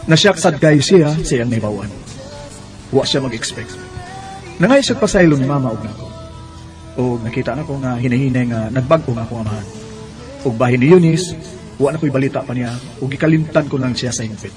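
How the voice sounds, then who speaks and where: A man's voice: mid-range voice, a little fast, long pauses, over music, lightly acted. A man with a Cebuano accent playing a wounded, indignant grown son in a Bisaya radio drama. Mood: subdued, reflective. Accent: Cebuano Filipino.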